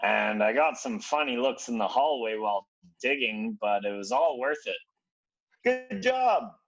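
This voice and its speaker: Casual: guy reading an earnest teen boy, slow, lively pitch, muffled audio. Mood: encouraging. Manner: earnest, encouraging. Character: friendly, determined teenage boy. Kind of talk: screenplay table reading.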